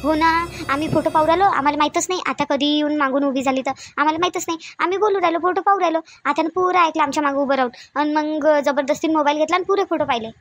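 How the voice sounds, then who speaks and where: A woman's voice: high voice, fast, dry recording, soft background music, close to an everyday voice. A woman playing an anxious sister-in-law in a family drama, urgent and wordy, with a Varhadi Marathi accent. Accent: Varhadi Marathi of Vidarbha. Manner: fretful, urgent, explaining at length. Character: anxious, gossipy young sister-in-law fretting over family. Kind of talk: amateur family comedy-drama dialogue.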